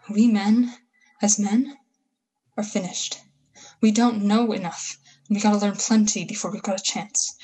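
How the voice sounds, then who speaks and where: Female actor for a bitter war survivor in a radio drama, husky voice, folksy slang, full of grand plans. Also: medium pitch, darkish tone, poor audio. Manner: folksy, slangy, halting emphatic phrases. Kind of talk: 1930s-style radio play acting.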